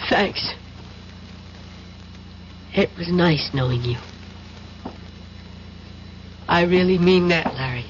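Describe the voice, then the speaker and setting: An actress playing a cool, worldly American double agent in a 1970s radio drama. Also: female voice, lowish voice, takes it slowly, a little hiss or hum. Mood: wry, fond. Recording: dull old radio broadcast, faint music.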